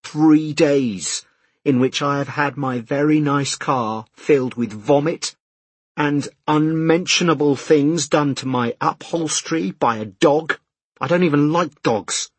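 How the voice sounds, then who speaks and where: A man's voice: medium pitch, moderate pace, husky, no room sound, natural voice. A man voicing a harried, exasperated man in an audiobook, speaking urgently and insistently. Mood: exasperated ranting. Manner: emphatic, insistent. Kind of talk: audiobook character dialogue.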